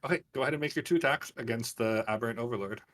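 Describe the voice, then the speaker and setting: Slightly distant, lower-pitched man running a game. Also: rapid-fire, fairly bright tone. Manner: conversational, descriptive narration of players' actions. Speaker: game master. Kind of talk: casual tabletop game narration.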